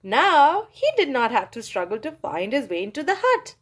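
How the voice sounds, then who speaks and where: A woman reading a children's story aloud for her channel, in a cheerful, expressive way. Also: fairly high pitch, moderate pace. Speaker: storybook reader, channel host.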